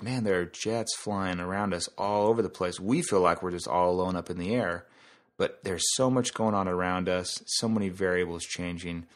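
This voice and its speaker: A man's voice: low voice, a bit bright. A man hosting a podcast in a casual, conversational monologue, explaining things through analogies and asides. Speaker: podcast host.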